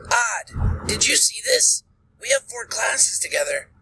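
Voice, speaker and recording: A woman's voice: on the high side, huge pitch swings, takes it slowly, vocal fry, pushed and bright, breathy edge, no echo, heavily acted, low-quality recording. A woman voicing an exasperated, nagging teenage boy in a fan fiction story, speaking emphatically. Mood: excited. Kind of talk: amateur fan fiction character reading.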